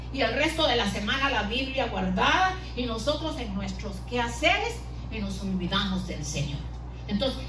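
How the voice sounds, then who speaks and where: A woman's voice: sits high, a bit bright. Middle-aged woman preacher, homely sermon style, room echo and background noise. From Guatemala. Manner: homely, uses diminutives and everyday examples. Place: church hall. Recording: echoey room from a distance, noisy.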